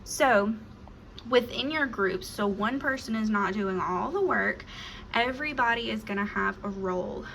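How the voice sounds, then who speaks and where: A woman's voice: mid-range voice, unhurried, gravelly, fairly bright tone, slight background noise, low-quality recording. Woman teacher giving classroom instructions. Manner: upbeat and encouraging, with frequent "um"s. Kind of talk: classroom instruction, practice lesson.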